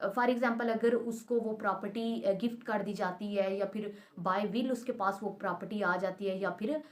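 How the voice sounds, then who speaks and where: A woman explaining law as a teacher, mixing in English terms and reading quoted passages slowly and deliberately. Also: medium pitch. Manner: switches between Urdu-Hindi and English terms, slow deliberate reading of quotations.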